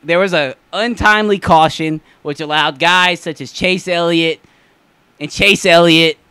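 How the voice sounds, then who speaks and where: Young male co-host, loose and jokey, slightly high voice, dry recording. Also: steady tone, a little slow, bright, forceful tone, clean tone, dry recording. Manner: joking, rambling, laughs often. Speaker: podcast co-host. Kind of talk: casual sports chat.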